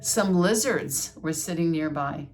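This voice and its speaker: An older woman reading a children's story aloud with asides, deliberate and teacherly like a schoolteacher. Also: sits low, slight background noise.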